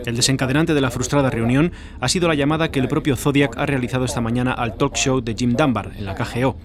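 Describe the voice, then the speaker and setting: A man playing a brisk, formal news reporter reading a bulletin in an audio drama. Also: medium pitch, a crowd in the background, close to an everyday voice. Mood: formal, urgent.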